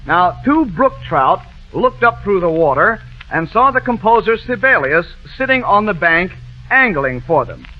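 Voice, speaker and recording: A man with a high voice works as master of ceremonies on a 1940s radio quiz. He keeps up casual, wisecracking patter and teases as he goes. The broadcast sounds muffled, with some music in it. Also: big pitch swings, a little slow. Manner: wisecracking, teasing, chatty. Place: radio studio show with band and audience.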